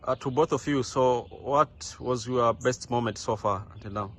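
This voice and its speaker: A man's voice: medium pitch, normal speed, fairly bright tone. A man acting as interviewer, putting informal questions to his guests. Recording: faint background noise, ambient.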